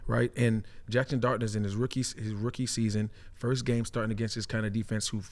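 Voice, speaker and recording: A man's voice: on the low side, little pitch movement, a little fast, a little mellow. A man, a former player working as an analyst, chatting casually about football and emphatically checking in with his listeners. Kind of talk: casual sports analysis chat.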